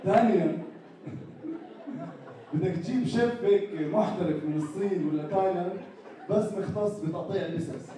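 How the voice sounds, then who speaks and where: A young Jordanian man with a fairly high voice does stand-up in a very echoey hall, heard from across the room. Audience chatter and background noise sit under his lively routine. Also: a little fast, long pauses. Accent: Jordanian Arabic. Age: young adult. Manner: animated, acts out characters in raised voices. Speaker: stand-up comedian. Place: small indoor stage with a live audience. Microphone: handheld mic. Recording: echoey hall recorded from far off, with crowd noise.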